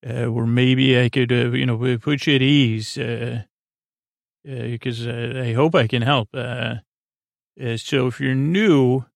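A man hosting a bedtime podcast in a creaky voice, meandering and halting, often stammering and repeating himself in a soothing, friendly way. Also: mid-range voice, steady tone, no echo. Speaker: podcast host. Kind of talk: rambling bedtime monologue.

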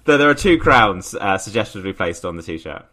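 A man co-hosting a podcast, speaking haltingly and stumbling over words in amused casual chat. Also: on the low side, very animated, crowd noise. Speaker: podcast co-host.

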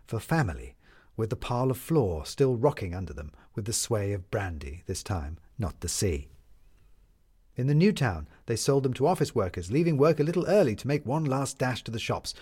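A man narrating a scripted story in a measured, lyrical storytelling manner. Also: medium pitch, a little mellow, breathy edge.

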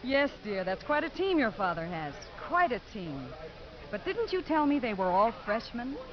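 A composed, dry-witted woman in an old radio drama. Her pitch moves in a lively way, over a muffled recording with clear background noise. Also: normal speed. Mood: dry, questioning. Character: composed, dryly skeptical grown woman narrating her story. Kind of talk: 1950s radio drama narration and dialogue. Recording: muffled, noisy 1950s radio broadcast.

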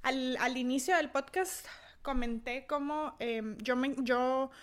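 A woman's voice: sits high, takes it slowly. A young adult woman with a Costa Rican accent, hosting her own podcast in a reflective, self-deprecating solo talk with many fillers and restarts. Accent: Costa Rican Spanish. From Costa Rica. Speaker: podcast host. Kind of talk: casual solo monologue.

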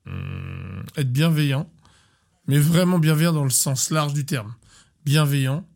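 A man in his thirties, a sports coach, talking casually in an interview, animated and often repeating and restarting words. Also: a little high, unhurried, creaky. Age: 30s.

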